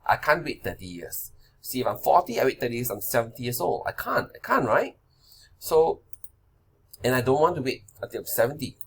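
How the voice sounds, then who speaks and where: Man in his forties, husky voice, talks through slides in a loose, repetitive way, keeps asking "right?". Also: medium pitch, sing-song, fairly quick. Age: 40s. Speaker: finance presenter. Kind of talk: casual slide-based money lesson.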